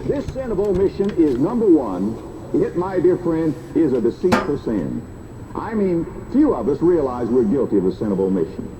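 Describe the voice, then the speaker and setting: A man's voice: sing-song, normal speed. A man preaching a fervent sermon over the radio, exhorting emphatically and repeating his phrases. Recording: noisy shortwave radio played through boombox.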